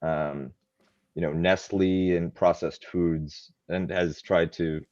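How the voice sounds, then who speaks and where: A man who grew up in Canada, talking in a podcast conversation in a halting way, with many ums and restarts. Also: low voice, fairly even intonation, a little mellow, no room sound. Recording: dry, muffled lo-fi mic.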